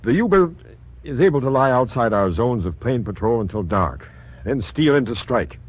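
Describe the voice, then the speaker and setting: An actor playing a forceful wartime naval officer, speaking in an authoritative, declarative way. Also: male voice, medium pitch, big pitch swings, normal speed, breathy edge. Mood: grave, resolute.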